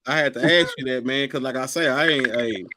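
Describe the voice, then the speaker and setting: A man's voice: mid-range voice, a little fast, background noise. A man on a casual podcast, loose and joking, with frequent "straight up, man" and "man.". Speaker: podcast co-host.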